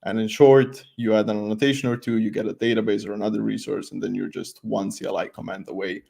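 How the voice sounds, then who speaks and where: Gravelly-edged young man presenting. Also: on the low side, little pitch movement, fast, warm tone. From Croatia. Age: 20s. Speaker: conference speaker, developer advocate. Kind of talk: informal tech talk presentation. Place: at home, makeshift desk setup, remote video call.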